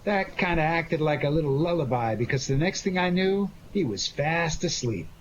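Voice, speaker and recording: A man's voice: a little high, expressive, normal speed, close to an everyday voice. A man playing an unflappable, sardonic amateur sleuth who trades slangy wisecracks in a 1940s-style radio detective drama. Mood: smug, teasing. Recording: dull lo-fi audio with faint noise.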